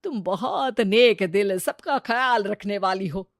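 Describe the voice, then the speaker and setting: A woman's voice: mid-range voice, lively intonation, a little slow, no echo. Grumbling mother-in-law, played by a woman, dry recording. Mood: approving. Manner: exasperated, sarcastic. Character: grumbling, sarcastic middle-aged mother-in-law. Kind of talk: Hindi family moral story dramatization.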